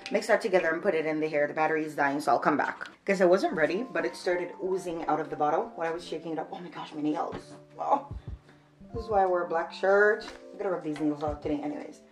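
A woman's voice: low-pitched, fast, faint music behind. A woman in her twenties from Jamaica, chatting casually to camera in a how-to vlog, explaining each step as she works. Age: 20s. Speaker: vlogger. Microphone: camera on a shelf a few feet away.

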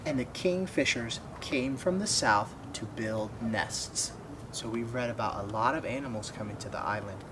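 A man's voice: medium pitch, normal speed. A young man reading a children's storybook aloud expressively, with asides and questions to young listeners. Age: young adult. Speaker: storybook reader. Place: outdoors by a wooden fence. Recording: clearly noisy outdoor ambience.

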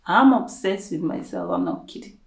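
A woman's voice: fairly low pitch, huge pitch swings, normal speed. Gushing young Kenyan woman in an echoey room. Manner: playful, gushing, self-hyping. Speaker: vlogger. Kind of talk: casual chat to camera. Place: living room indoors. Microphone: camera mic at a distance.

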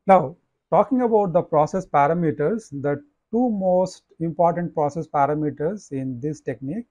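Man, professor, slide lecture, measured with fillers, fairly high voice, dry close recording. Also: darkish tone, no room sound. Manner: measured explaining with frequent "uh" and "you know".

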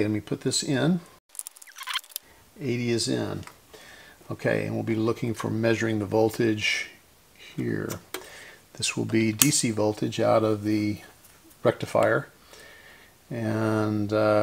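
A man with a fairly low voice full of heavy vocal fry hosts a hands-on repair video. He speaks casually and methodically, thinking aloud as he goes through each step. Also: slight background noise. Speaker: host of a radio repair channel.

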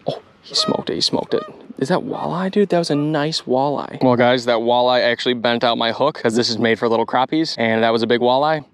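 Excitable young man narrating from a boat. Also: medium pitch, a little fast. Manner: excitable, swears, laughs often. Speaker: fishing vlogger. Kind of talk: excited fishing vlog commentary. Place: outdoors on a boat.

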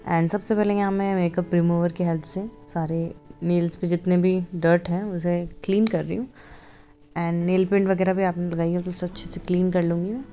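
Woman, casual beauty vlogger voice-over, low and mellow, relaxed delivery. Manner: relaxed, mixes English phrases into speech. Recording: dull lo-fi voice-over with faint music.

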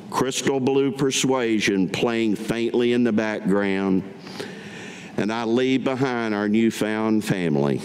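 Older man from Kentucky, very slow deliberate reading, podium mic in a reverberant hall. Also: medium pitch, a little mellow. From Kentucky, United States. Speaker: veteran reading his own written piece. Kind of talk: staged reading of autobiographical writing. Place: public meeting room, at a podium. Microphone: podium gooseneck microphone. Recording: echoey hall with background noise.

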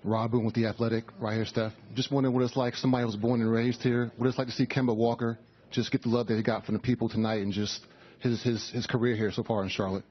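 Guy reporter rushing thru his question, husky, muffled room audio. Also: medium pitch, flat delivery. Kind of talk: press conference question.

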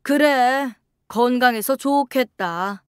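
Woman acting a stern, slow-speaking older mother-in-law. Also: high-pitched, clean tone, no room sound, studio quality. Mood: curt.

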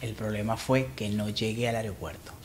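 Animated Venezuelan man in reality show. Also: lowish voice, a little fast, reverberant, heavy background noise. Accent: Venezuelan Spanish. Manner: animated, defensive, uses slang. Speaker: reality show cast member. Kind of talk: confessional reality-show talk. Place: indoor living room at night. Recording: background music under voice.

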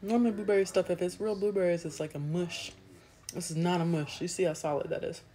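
A woman in her thirties talks into a phone held at arm's length in a small room at home. She tastes and describes pastries in a chatty, easygoing way, pausing to chew between remarks. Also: on the low side, fairly even intonation, mellow. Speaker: food vlogger. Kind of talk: casual food review vlog.

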